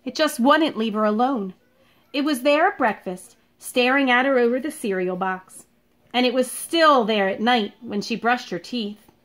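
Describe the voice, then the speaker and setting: A woman teacher speaking to young children in an expressive, deliberate read-aloud style, recorded at home in a living room. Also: fairly high pitch. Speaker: elementary school teacher reading a picture book aloud.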